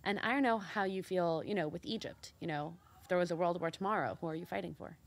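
A woman's voice: on the low side, quick pace, creaky. A woman from Mexico co-hosting a casual talk show, speaking earnestly and reflectively with many fillers. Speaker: co-host. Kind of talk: casual chat.

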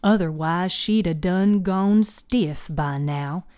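A woman's voice: on the low side, slow, darkish tone, clean tone, no echo, studio quality. A woman voicing a sardonic older female healer in a storybook, plainspoken and folksy. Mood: grave, plainspoken.

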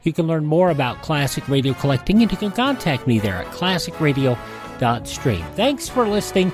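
A man's voice: fairly high pitch, sing-song, brisk, music in the background. A man hosting a radio show of vintage programs, talking conversationally to listeners.

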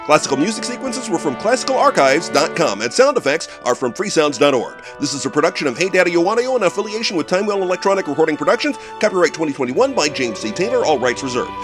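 A man's voice: fairly high pitch, sing-song, fast talker, few pauses, over music. A man narrating scripted credits for an audio drama in an announcer-like manner.

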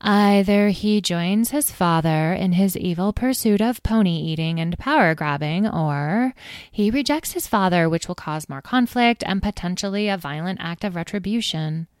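A woman's voice: on the low side, expressive, moderate pace, clean tone, no room sound, studio quality, lightly acted. A woman voicing a dreamy, absent-minded fairy advisor in a storybook. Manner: dreamy and drifting.

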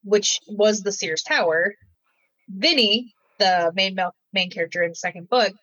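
A woman's voice: mid-range voice, normal speed, sharp tone, no room sound, highs cut off. A woman novelist from Michigan, chatting casually in an interview and leaning on "like" a lot. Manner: chatty, many "like" fillers. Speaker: novelist guest.

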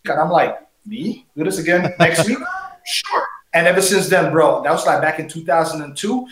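A man's voice: on the high side, moderate pace, slight background noise. Upbeat man from Colombia, echoey room. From San Andres, Colombia. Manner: animated storyteller, says bro a lot. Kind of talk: casual interview chat.

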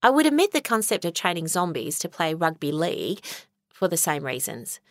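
A woman playing an earnest, bureaucratic high school principal, speaking in a measured, formal deadpan for a comic audio story. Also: dry recording, clean studio sound. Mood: tactful, firm. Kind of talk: acted comic monologue in an audio short story.

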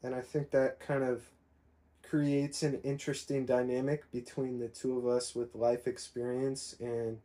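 A man's voice: medium pitch, measured pace. A man in his twenties co-hosting a podcast, talking casually and rambling with lots of fillers. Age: 20s. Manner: relaxed, rambling, many fillers. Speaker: podcast co-host. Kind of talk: casual conversation.

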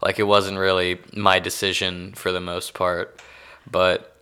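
A man's voice: sits low, monotone, a little slow, bright, forceful tone, clear, resonant tone. A man with a Texan American accent chatting casually as an interview guest, self-deprecating and wry, feeling out his phrasing as he goes. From born in Hong Kong, raised between Texas and Pakistan.

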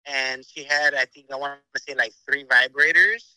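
A man's voice: mid-range voice, fairly even intonation, moderate pace, pushed and bright, clear, resonant tone, dry recording, poor audio. A man on a video call, bantering with a flirty, teasing manner. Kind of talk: casual banter.

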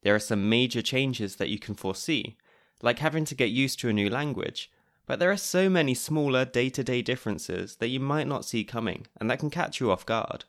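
A British man narrating his podcast from a script, with a wry, chatty delivery. His voice sits at an ordinary middle pitch. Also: expressive, a little fast, a bit bright. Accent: British English. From Britain. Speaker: podcast host.

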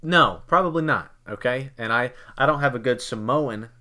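A man's voice: mid-range voice, moderate pace, pushed and bright. A man from Kentucky co-hosting a casual podcast, rambling and joking as he quizzes his cohost with leading questions. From Kentucky, United States. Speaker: podcast host.

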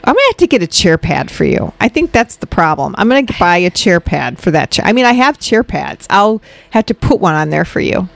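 A woman from Illinois co-hosting a casual podcast, teasing her partner and quick to correct her. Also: fairly low pitch, sing-song, vocal fry. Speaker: podcast co-host.

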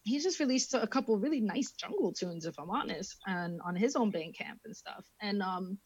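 A woman's voice: medium pitch, expressive, normal speed, a little mellow, breathy edge, no echo, highs cut off. Chatty woman telling stories. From England. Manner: chatty storyteller who acts out quoted voices, swears freely. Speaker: guest. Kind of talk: casual podcast chat.

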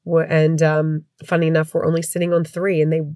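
Congested low-voiced woman podcaster. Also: monotone, brisk, a little mellow, dry recording, clean studio sound. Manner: chatty, rambling, candid. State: congested with a head cold. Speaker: podcast host. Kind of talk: casual podcast chat. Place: home.

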